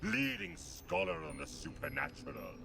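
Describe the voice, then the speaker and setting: A man playing a pompous ghost of a scholar and gatekeeper to the beyond, with grandiose, drawn-out theatrical delivery in a video game. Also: fairly high pitch, wildly swooping pitch, gravelly, background noise, exaggerated voice. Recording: game cutscene with loud music.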